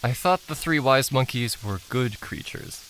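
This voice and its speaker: A determined youngster played by an actor with a bright voice and lively intonation. The delivery is earnest, insistent and frustrated, as in an audio drama. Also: mid-range voice, unhurried, poor audio.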